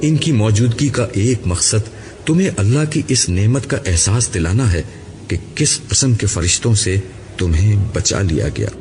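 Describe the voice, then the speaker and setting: A man voicing a calm, amused afterlife guide in an audiobook, assured and explanatory. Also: lowish voice, moderate pace. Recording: clearly noisy recording.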